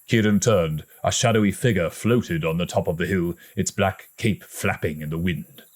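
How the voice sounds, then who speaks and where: A man reading a story aloud as an audiobook narrator, in a dramatic, expressive storytelling manner. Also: low voice, normal speed. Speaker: audiobook reader.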